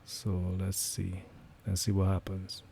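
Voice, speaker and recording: A man with a hoarse voice giving casual live commentary on his currency trades, thinking aloud with many fillers. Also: sits low, monotone, takes it slowly, warm tone. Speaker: trading livestreamer.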